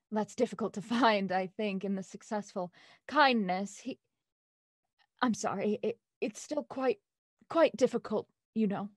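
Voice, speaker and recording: An actress playing a genteel young heiress in grief, speaking in a hesitant, polite way in an audio drama. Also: female voice, mid-range voice, no room sound, clean studio sound. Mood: halting grief. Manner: halting, soft-spoken, polite.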